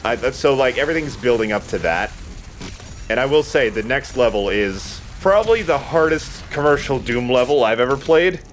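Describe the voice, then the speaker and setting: A man's voice: medium pitch, animated, normal speed, a little hiss or hum. A man giving casual, rambling gaming commentary as a let's play host, sometimes swearing.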